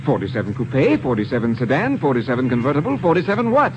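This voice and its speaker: An actor playing a stubborn, authoritative middle-aged politician and father in a 1950s radio drama, brisk and clipped. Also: medium pitch, big pitch swings, close to an everyday voice. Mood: impatient, urgent. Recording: dull, hissy 1951 radio recording.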